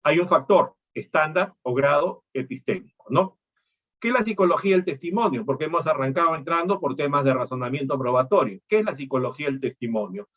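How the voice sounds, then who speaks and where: A man's voice: a little high, expressive, brisk, fairly bright tone, lo-fi sound. A middle-aged man from Peru, lecturing on law and explaining things with rhetorical questions.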